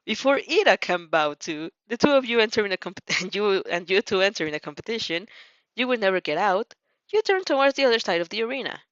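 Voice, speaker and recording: Casting: female audio-fanfic narrator, playful, expressive pitch, informal with slips, slightly muffled and dry sound. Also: quick pace, no echo. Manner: playful, stumbles and giggles at slips. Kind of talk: fan fiction read aloud, dramatized narration.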